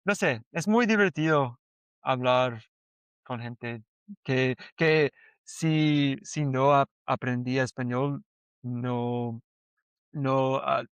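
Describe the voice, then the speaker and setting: A man speaking learner Spanish at an unhurried pace. His voice is clear and clean, and the sound is dry and close. He talks hesitantly, restarts often and fills gaps with quick yeses. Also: mid-range voice, steady tone, fairly bright tone, no room sound, lo-fi sound. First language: English. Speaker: podcast co-host. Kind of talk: casual conversation in learner Spanish.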